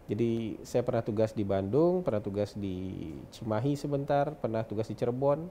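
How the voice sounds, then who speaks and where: A man's voice: medium pitch, expressive, moderate pace, warm tone, a little hiss or hum. A man in his forties from West Sumatra with a Minangkabau-tinged accent, a political consultant talking reflectively and chuckling often in an interview. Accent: Minangkabau-tinged Indonesian. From West Sumatra, Indonesia. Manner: reflective, chuckles often, mixes in English words. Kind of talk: relaxed interview chat.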